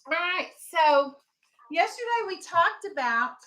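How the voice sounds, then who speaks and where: A woman teaches an elementary class in a high, bright voice. She explains and reads aloud in an instructional way, putting questions to pupils and keeping them firmly on task. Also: lively intonation, slow. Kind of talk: classroom reading lesson and read-aloud.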